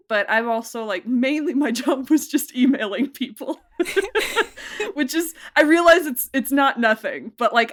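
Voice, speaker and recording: A woman's voice: on the high side, fairly bright tone. A woman being interviewed as a producer, chatting casually in a self-effacing way and prone to laughing through her words.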